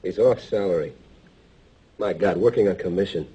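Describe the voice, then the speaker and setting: A man's voice: sits low, normal speed, dark tone, rough recording. An actor playing a young American man, an earnest and defensive grown son with wavering confidence, in a stage drama. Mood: quiet, reflective. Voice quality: husky.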